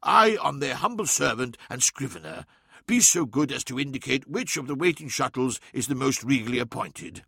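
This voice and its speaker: A man's voice: fairly high pitch, big pitch swings, unhurried, vocal fry, hardly any pauses, pushed and bright, husky, no echo, studio quality, heavily acted. A man voicing a determined male servant in an audiobook, bluffing with formal, insistent speech. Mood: grandly confident.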